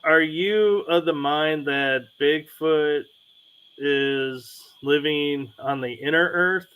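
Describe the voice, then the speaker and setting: A man from Chicago, co-hosting a podcast, who asks his questions in a slow, hesitant way. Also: fairly high pitch, smooth, narrow, tinny audio. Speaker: podcast co-host. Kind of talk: casual podcast conversation.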